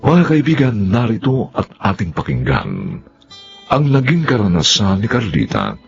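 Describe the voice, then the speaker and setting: A male drama narrator speaks in a deep, creaky voice with big sweeping intonation. He introduces the program theatrically, over faint music on a dull recording. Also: huge pitch swings. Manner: measured, theatrical delivery. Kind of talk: dramatic scripted radio introduction. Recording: muffled lo-fi with music behind.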